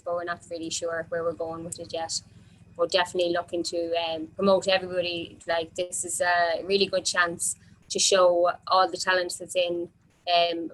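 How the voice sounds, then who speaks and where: A woman's voice: fairly low pitch, a bit bright, low-quality recording. An Irish woman organiser answering questions informally, explaining things in a hesitant way.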